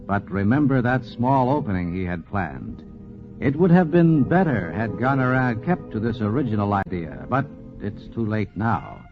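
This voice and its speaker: A man narrating a scripted 1940s radio documentary with dramatic, deliberate emphasis. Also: on the low side, sing-song, faint music behind. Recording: dull 1940s radio recording.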